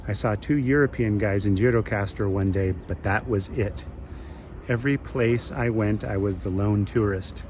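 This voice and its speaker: A man in his late fifties from the west coast of the United States, narrating a travel vlog in a measured, reflective way. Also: fairly low pitch, a little mellow, muffled recording, a little hiss or hum. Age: 50s. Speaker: travel vlogger. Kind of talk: scripted voice-over narration.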